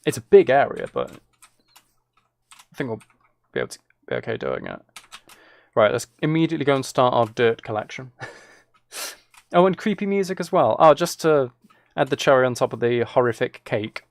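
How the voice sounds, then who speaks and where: A man's voice: medium pitch, big pitch swings, moderate pace, pauses often, no room sound. A man chats casually with viewers as he plays a game, rambling and musing aloud. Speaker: live streamer. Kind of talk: casual chat with viewers while gaming.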